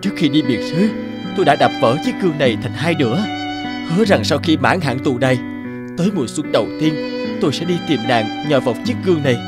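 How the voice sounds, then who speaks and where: A man's voice: on the high side, expressive, fast talker, loud music behind the voice, close to an everyday voice. A man playing a devoted, sorrowful husband in a folk-tale cartoon, earnest and pleading. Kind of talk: cartoon voice acting.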